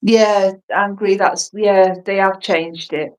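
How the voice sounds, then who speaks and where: A woman's voice: mid-range voice, slow, distant mic, poor audio. Chatty woman in her forties, slightly off-mic. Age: 40s. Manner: chatty, rambling, excitable. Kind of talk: casual chat.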